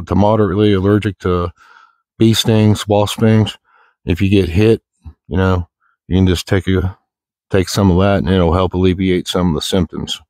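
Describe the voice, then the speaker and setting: Deep-voiced folksy American man. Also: a little mellow, dry recording. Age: middle-aged. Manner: folksy, plain-spoken, rambling. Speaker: outdoor vlogger. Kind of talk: casual walk-and-talk chat. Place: outdoors in the woods, walking.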